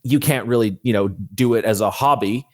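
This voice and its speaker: A man's voice: mid-range voice, brisk, no echo, clean studio sound. A man speaking as a guest author in a conversational interview, explaining things earnestly.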